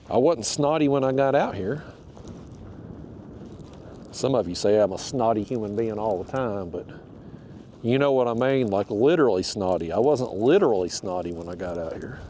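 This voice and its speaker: Gravelly, stuffed-up man. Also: medium pitch, lively intonation, normal speed, long pauses, dark tone, slight background noise. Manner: chatty, talks to the fish and to viewers. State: stuffed-up, nasal from a cold wind. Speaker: kayak fishing channel host. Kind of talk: casual narration while fishing. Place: outdoors on a kayak on a river.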